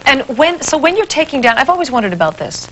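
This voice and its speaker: A woman's voice: high-pitched, big pitch swings, fast, a bit bright, low-quality recording. A woman hosting a radio call-in show, interviewing guests and taking calls in a conversational way. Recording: faint hiss, old broadcast tape.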